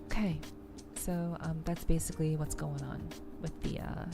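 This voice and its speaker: A woman hosting a soft-spoken ASMR game livestream, chatting to viewers and explaining things in a gentle, rambling way. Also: low-pitched, fairly even intonation, normal speed, gravelly. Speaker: streamer, host of a weekly live show. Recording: music playing behind the voice. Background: background music.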